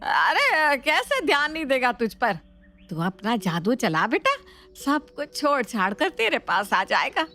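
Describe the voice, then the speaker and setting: A woman's voice: sits high, wildly swooping pitch, a little slow, bright, forceful tone, full character voice. A woman playing an exasperated, coaxing middle-aged Indian mother-in-law in a family story, with dramatic, complaining delivery. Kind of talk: scripted Hindi family story dialogue.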